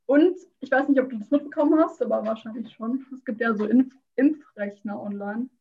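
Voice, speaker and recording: A woman in a casual chat, teasing her friend and quick to laugh. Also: fairly high pitch, breathy edge, narrow, tinny audio.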